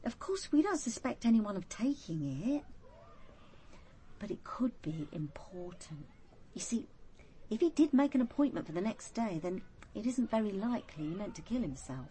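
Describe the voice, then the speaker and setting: An actress playing a composed, observant police detective who narrates and questions with measured restraint in a radio drama. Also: female voice, mid-range voice, big pitch swings, dark tone, a little hiss or hum, close to an everyday voice. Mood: polite, probing.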